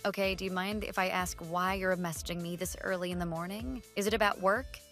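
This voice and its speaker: A woman's voice: lowish voice, steady tone, fairly quick, fairly bright tone. An actress playing a curt professional woman fending off a pestering coworker in a radio drama, cool, dismissive and businesslike. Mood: irritated. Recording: voice over background music.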